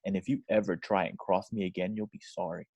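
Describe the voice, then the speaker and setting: A man's voice: sits low, fairly even intonation, quick pace, darkish tone, slightly rough, no echo, dull audio. A man playing a bitter, threatening Hollywood film producer in a script reading. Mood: bitter threat.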